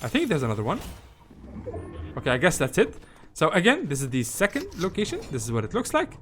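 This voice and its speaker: A man with a slightly high, animated voice hosts a gameplay walkthrough. He talks informally and hesitantly, repeating himself, with light noise and game ambience behind him. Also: quick pace. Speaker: gaming video host. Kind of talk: casual gameplay guide commentary.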